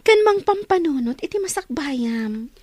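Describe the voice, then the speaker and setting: Woman playing high, lively student friend. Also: measured pace. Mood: encouraging. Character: chatty, generous young female student friend. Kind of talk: audio drama acting.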